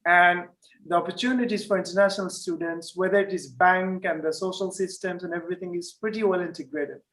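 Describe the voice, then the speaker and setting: A man's voice: high-pitched, steady tone, fairly quick, a bit bright. A man from Finland speaking as a panel guest in a live online discussion, conversational with frequent fillers and restarts.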